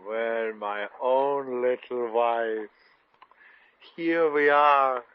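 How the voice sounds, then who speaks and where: A man's voice: mid-range voice, drawn-out words, slight background noise. A man playing a sappy, easily annoyed foreign bridegroom with a German accent in a vintage radio comedy. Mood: amorous. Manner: gushing, sentimental, inverted phrasing. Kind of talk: 1950 radio situation comedy acting. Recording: dull old radio recording.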